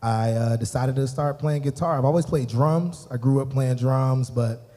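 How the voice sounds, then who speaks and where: A man's voice: mid-range voice, normal speed, mellow. A man from South Carolina with a Southern US accent, talking casually and jovially as an interview guest, rambling and repeating phrases. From Columbia, South Carolina, US. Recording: reverberant hall sound.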